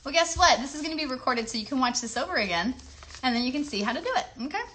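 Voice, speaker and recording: Bright, high-voiced woman teaching kids crafts. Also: expressive, racing, slight background noise. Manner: chatty, encouraging, often asks the viewers questions; simple child-directed wording. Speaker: children's craft livestream host. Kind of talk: step-by-step craft demonstration for children.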